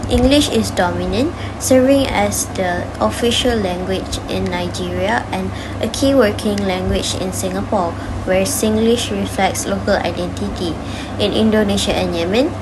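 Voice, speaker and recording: A woman's voice: medium pitch, noisy background. Young woman reading a conclusion. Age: young adult. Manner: steady reading. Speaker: student presenter. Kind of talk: read conclusion.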